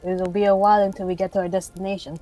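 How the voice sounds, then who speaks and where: A woman's voice: medium pitch, little pitch movement, racing, warm tone, no room sound, rough recording. A woman playing a tabletop game, casually narrating and speaking as her character. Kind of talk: casual in-character role-play.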